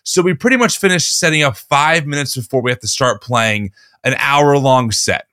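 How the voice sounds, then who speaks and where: A man with a slightly hoarse voice hosts a casual podcast chat, telling a long story with relaxed restarts. His tone is bright and forceful. He is recorded close on a dry studio mic. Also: mid-range voice, moderate pace, no room sound. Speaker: podcast host.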